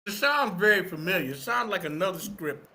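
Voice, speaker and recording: A man's voice: sits high, animated, a little fast, a loud crowd. A man giving opinionated feedback in a workshop discussion, emphatic and instructive, repeating his points to drive them home. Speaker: participant in a screenwriting critique.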